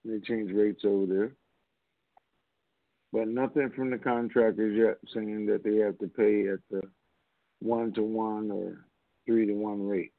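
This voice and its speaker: Male conference call host, blunt and informal, creaky fry in the voice, dry lo-fi line. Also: on the low side, fairly even intonation, measured pace, long pauses, no echo. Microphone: phone conference line.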